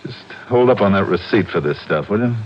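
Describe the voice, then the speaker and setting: An American actor playing a wry, slangy, hard-boiled insurance investigator in an old-time radio detective drama. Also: male voice, lowish voice, expressive, fairly quick, slight background noise, lightly acted. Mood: urgent. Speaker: lead actor and narrator. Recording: muffled vintage radio recording with music.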